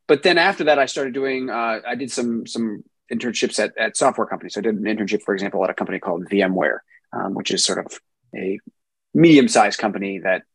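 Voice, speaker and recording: A man from New Jersey, a software engineer answering interview questions in a relaxed way, with many ums and restarts. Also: on the low side, a little fast, breathy edge, no room sound. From New Jersey, USA.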